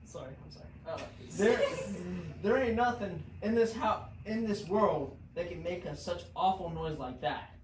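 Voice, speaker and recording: A woman's voice: on the low side, moderate pace, a little mellow, close to an everyday voice. A teenage girl playing a cowardly, stammering, gullible robber henchman with folksy talk, in a student reader's theater performance. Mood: timid, puzzled. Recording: distant, echoey, noisy room.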